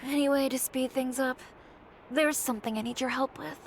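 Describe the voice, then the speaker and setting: A woman playing a calm, composed young fashion designer, in a video game. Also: sits high, normal speed, noisy background. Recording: game music behind.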